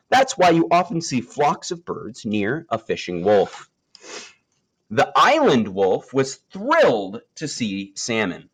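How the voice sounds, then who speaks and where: A man reading a children's nature story aloud, with expressive, emphatic phrasing and dramatic pauses. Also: mid-range voice, poor audio.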